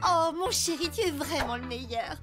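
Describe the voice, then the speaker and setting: A woman's voice: high-pitched, normal speed, music in the background, close to an everyday voice. A woman voicing a dramatic, fussy female cartoon character with a theatrical, emotional manner. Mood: delighted. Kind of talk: French cartoon dubbing.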